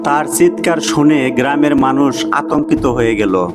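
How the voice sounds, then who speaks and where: A man reads a cartoon fable in a slow, measured storytelling voice at a middle pitch. Clear music plays under his narration. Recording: music behind the voice.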